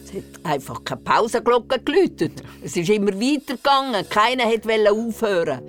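A woman's voice: medium pitch, big pitch swings, moderate pace, faint music behind, natural voice. A woman playing a fanciful, feisty old grandmother with a Swiss German accent, telling tall tales bluntly in a children's radio play. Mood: gleeful.

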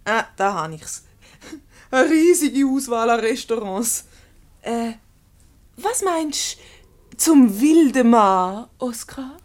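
A high, swooping female voice with a Swiss German accent. a mischievous wife in a radio comedy, breezily taking command and teasing as she goes. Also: expressive, slow pace, long pauses, darkish tone. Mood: breezy, mischievous. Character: brisk, mischievous wife steering her flustered husband. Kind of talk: radio comedy acting in dialect.

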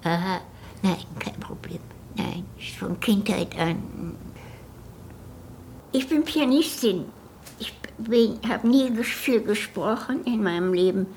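An elderly woman being interviewed, reminiscing in short, halting phrases. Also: mid-range voice, expressive, a little mellow, slight background noise. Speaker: interviewee, pianist. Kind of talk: reminiscing in conversation.

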